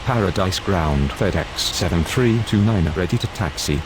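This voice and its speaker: A low male voice delivers clipped aviation radio calls in standard phraseology. The recording is clearly noisy, with hiss under the words. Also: lively intonation, moderate pace. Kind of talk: air traffic control radio phraseology.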